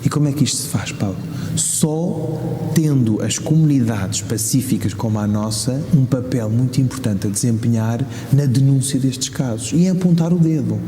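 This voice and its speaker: A man's voice: mid-range voice, moderate pace, dark tone. A man from Portugal with a European Portuguese accent, speaking as a community leader in an interview, articulate and emphatic with rhetorical questions. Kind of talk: interview answers. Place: large reverberant room in a mosque. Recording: hall-like long reverb.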